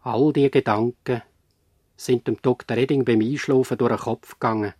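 An actor playing a grave, reflective narrator of a radio play, addressing listeners in a measured way. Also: male voice, medium pitch, fairly even intonation, darkish tone, no echo, clean studio sound, lightly acted. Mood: calm, gentle. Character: grave, reflective male narrator concerned for humanity. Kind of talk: scripted radio drama narration.

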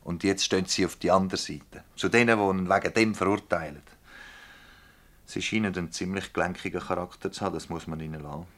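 Deep-voiced, dryly sceptical Swiss German prosecutor. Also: male voice, fairly even intonation, a little fast, a bit bright. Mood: probing, pressing. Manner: formal, probing, dryly ironic. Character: probing, dryly sceptical male public prosecutor questioning witnesses. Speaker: actor in a radio play. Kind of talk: dialect radio drama acting.

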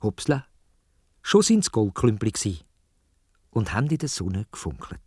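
A man with a Swiss German accent telling a children's story in a dramatic, expressive way, speaking directly to young listeners. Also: lowish voice, unhurried, breathy edge, dry recording, studio quality. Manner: dramatic, addresses young listeners with questions and exclamations.

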